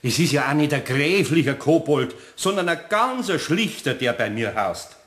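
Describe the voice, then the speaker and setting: A man's voice: a little high, animated, moderate pace, a bit bright. A male actor with a Bavarian accent in a radio play, as an earnest, awkward, folksy older craftsman. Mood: earnest, stubborn. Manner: hesitant, folksy, plain-spoken. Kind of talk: German radio play acting.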